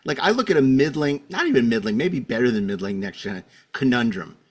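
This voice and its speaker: A man's voice: mid-range voice, sing-song, a little fast, husky. A man hosting a casual panel discussion, opinionated and given to bantering with his guests. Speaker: podcast host.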